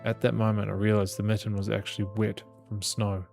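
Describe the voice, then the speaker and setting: Low, gravelly man narrating evenly. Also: little pitch movement, a little fast, a little mellow. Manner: reads aloud with small stumbles. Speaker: gaming channel host reading the game aloud. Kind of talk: dramatic narration of visual novel text. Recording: music behind the voice. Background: game music.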